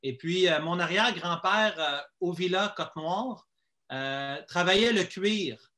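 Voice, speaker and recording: Man, Quebec French accent, slightly high voice, bright forceful tone, interview guest, personal storytelling. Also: unhurried. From Quebec, Canada. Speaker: interview guest giving a testimony.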